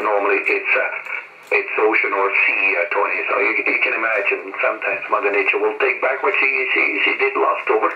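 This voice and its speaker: Dull echoey radio transmission, man, Flemish accent, lowish pitch, halting, repetitive phrasing. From Belgium. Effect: amateur radio transmission. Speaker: amateur radio operator. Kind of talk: radio contact chat. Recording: dull, echoey, noisy shortwave radio link.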